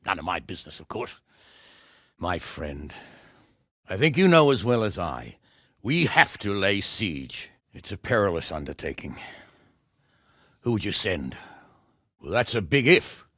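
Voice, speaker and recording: A man playing a gruff, blunt, hot-tempered middle-aged medieval nobleman in a video game, commanding and scolding in a gravelly, husky voice. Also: low voice, big pitch swings, a little slow, long pauses, pushed and bright, dry recording, clean studio sound, exaggerated voice. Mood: low, deliberate. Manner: blustering, scolding, swearing, commanding.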